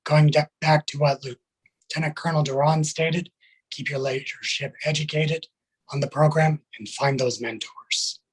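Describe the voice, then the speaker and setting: Husky, higher-pitched man, formal military guest. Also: fairly even intonation, measured pace, rough recording. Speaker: military sergeant, interview guest. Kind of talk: prepared interview answers.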